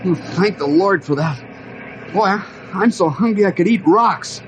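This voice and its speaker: A man's voice: high-pitched, moderate pace, slight background noise, lightly acted, rough recording. An actor playing a weary, hungry young man stranded on a mountain, earnest and anxious, in old radio drama. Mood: relieved, eager. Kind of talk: old-time radio adventure acting.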